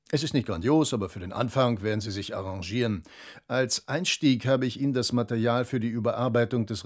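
A man playing a formal, husky office trainer. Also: mid-range voice, dry recording, clean studio sound, close to an everyday voice. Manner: formal, measured. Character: formal male EU trainer, polite and businesslike. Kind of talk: radio drama acting.

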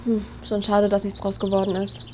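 Eine Schauspielerin spielt in einem Hörspiel eine junge, unsichere, lockere Frau, die umgangssprachlich mit englischen Einsprengseln redet. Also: female voice, mid-range voice, monotone, normal speed, a little mellow, a little hiss or hum, lightly acted. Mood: casual, chatty. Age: young adult. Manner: colloquial youth slang with English bits. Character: Daya, young woman, anxious, self-doubting, casual, caring. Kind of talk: naturalistic audio drama acting.

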